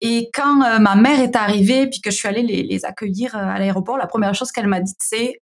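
A woman's voice: medium pitch, a little fast. A woman with a southern French accent, a podcast guest who talks earnestly with many hesitations. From southern France. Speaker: guest, company founder. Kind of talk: conversational podcast interview.

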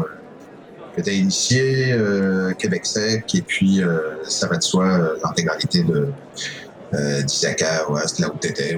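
A middle-aged man with a French accent from France, answering interview questions informally in a halting, digressive way with frequent self-corrections. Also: deep voice, rough recording. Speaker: conference speaker, cybersecurity specialist.

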